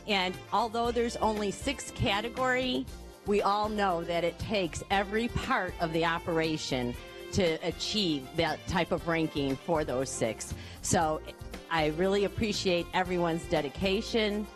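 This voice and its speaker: A woman giving a heartfelt thank-you speech to staff at a gathering, slightly halting in places. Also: medium pitch, music in the background. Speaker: airport director giving a speech.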